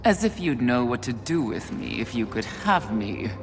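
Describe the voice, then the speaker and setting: An actor playing a haughty, contemptuous embodiment of sin in a video game, pompous, sneering and theatrical. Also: male voice, medium pitch, very animated, moderate pace, big-room sound, music in the background. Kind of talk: dramatic video game acting.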